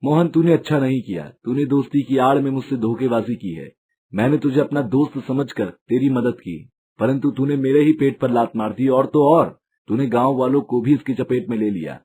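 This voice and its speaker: A man voicing an honest, wronged street-food seller in a moral story, speaking earnestly with a husky voice. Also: medium pitch, moderate pace, dark tone, lightly acted. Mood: hurt, reproachful. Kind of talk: dramatised moral story acting.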